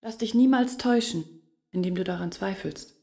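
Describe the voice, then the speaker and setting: An actress playing a guarded, earnest village woman in a literary radio play, measured and intimate. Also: medium pitch, gravelly, a little mellow, natural voice. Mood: grave, confiding.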